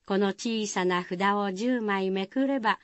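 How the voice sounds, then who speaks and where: A woman's voice: medium pitch, a little slow, natural voice. A woman narrating a Japanese village folktale in a scripted radio drama style. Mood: measured.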